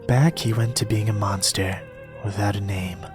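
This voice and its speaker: A young man reading a storybook in a soft-spoken, partly whispered ASMR style, with a gentle, measured delivery. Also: on the low side, steady tone. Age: young adult. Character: polite soft-spoken young male reader, quietly eerie. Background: soft music.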